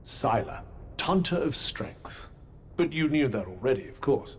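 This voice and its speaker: An actor playing an urbane, haughty magical companion in a video game, formal, wry and teasing. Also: male voice, medium pitch, sing-song, a little slow, slight background noise. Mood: dry, teasing. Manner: formal, wry, pompous phrasing. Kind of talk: video game cutscene acting.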